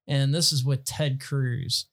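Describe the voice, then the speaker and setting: A man's voice: medium pitch, little pitch movement, slow, a bit bright. A man co-hosting a casual comedy podcast, joking and rambling with restarts. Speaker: podcast co-host.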